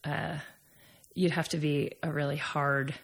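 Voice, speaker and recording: A speaker with an American accent chatting informally, with halting, self-correcting phrasing. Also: mid-range voice, flat delivery. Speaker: conversation partner swapping travel stories. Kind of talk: casual chat.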